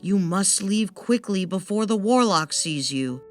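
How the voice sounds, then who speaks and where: A woman's voice: medium pitch, lively intonation, unhurried, faint music behind, pitched above the performer's own voice, natural voice. A woman voicing a timid female bat in a storybook tale, a lonely and cautious servant who speaks hesitantly. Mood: anxious warning. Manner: hesitant, anxious. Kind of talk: storybook character voice.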